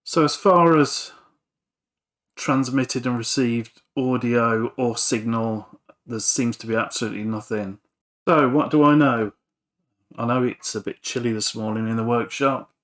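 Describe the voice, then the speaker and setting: A man talks in a slow, unhurried way at a medium pitch, like a hobbyist at his workbench. He is hesitant and thinks aloud, pausing often as he casually explains each step. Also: a bit bright. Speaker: electronics hobbyist and repair vlogger. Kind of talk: casual workbench talk-through. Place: home workshop.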